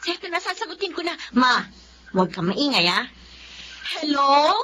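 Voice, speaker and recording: A woman playing an earnest, expressive young daughter with a Filipino accent in an emotional audio drama. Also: high-pitched, moderate pace. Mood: anxious. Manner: teasing, easily moved, expressive. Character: earnest, tearful young adult daughter and sister. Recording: muffled audio with faint background noise.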